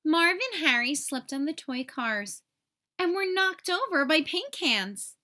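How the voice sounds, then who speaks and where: A woman narrating a children's story aloud in an expressive, clearly enunciated storytelling manner. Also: sits high, measured pace. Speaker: storybook reader.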